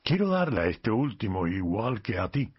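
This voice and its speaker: A man who is a priest, reading a gospel passage and explaining it in a calm, measured way on a radio program. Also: on the low side, slightly rough, highs cut off.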